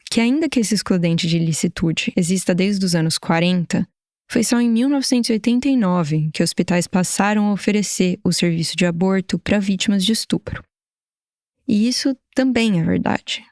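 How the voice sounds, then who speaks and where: A woman with a Brazilian Portuguese accent narrating a documentary podcast in a measured, explanatory way. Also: lowish voice, a little mellow, no room sound, clean studio sound. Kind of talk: scripted narration.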